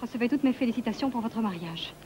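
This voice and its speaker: An actress playing a proud, wounded young sweetheart, formal and reserved in a comic drama. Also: female voice, fairly high pitch, lively intonation, fast, mellow, lo-fi sound, slight background noise, close to an everyday voice. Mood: coldly hurt.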